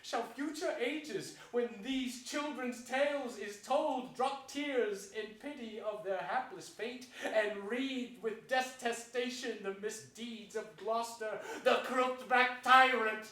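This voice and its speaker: A man's voice: extremely high pitch, slow pace, few pauses, sharp tone, exaggerated voice. A man playing a scheming, gloating hunchbacked royal usurper in theatrical Shakespearean stage declamation. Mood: defiant. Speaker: stage actor. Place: theater stage before an audience. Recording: distant mic in echoey theater, hiss.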